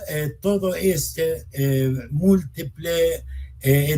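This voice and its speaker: A man hosting an interview, speaking haltingly and searching for words as he puts his questions. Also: on the high side, darkish tone. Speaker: interview host. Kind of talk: hesitant interview questioning in Spanish.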